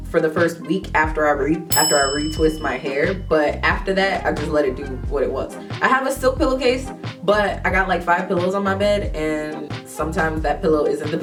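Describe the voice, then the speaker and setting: A young woman vlogging casually about her hair, sounding stuffy from a cold, chatty and quick to laugh, with frequent ums. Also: low voice, distant mic. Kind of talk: casual talk to camera.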